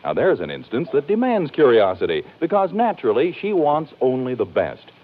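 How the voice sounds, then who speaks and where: Lively American vintage radio announcer, male. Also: medium pitch, brisk, slight background noise. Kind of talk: scripted 1940s radio announcing. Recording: tinny old radio broadcast recording.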